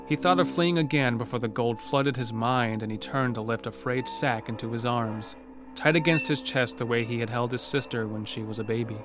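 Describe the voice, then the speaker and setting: A man's voice: mid-range voice, normal speed. A man reading scripted, dramatic narration for a horror story. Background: prominent music.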